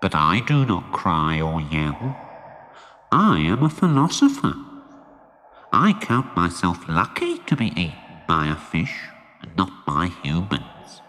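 A man playing a gloomy philosophical tuna fish, fatalistic and pompous, with a low, mournful voice of doom. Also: wildly swooping pitch, takes it slowly, sharp tone, slight background noise, exaggerated voice. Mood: pompous, resigned. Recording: long cavernous reverb, background ambience.

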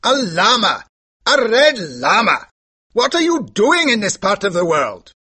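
A brash older horse dealer from a storybook, pitched high and straining with force. He is raspy and declamatory, barking commands in big swoops. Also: sing-song, normal speed, pushed and bright, exaggerated voice. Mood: gruff, shouting. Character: brash red-bearded Pashtun horse dealer, older man.

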